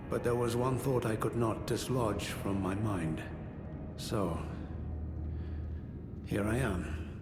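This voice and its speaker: Man acting a low-voiced, regretful old-world knight, echoing. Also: close to an everyday voice. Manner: formal, measured, archaic courtly phrasing. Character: grave, courteous former knight, male, burdened by regret. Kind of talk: fantasy video game dialogue. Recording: echoey hall reverb under game music.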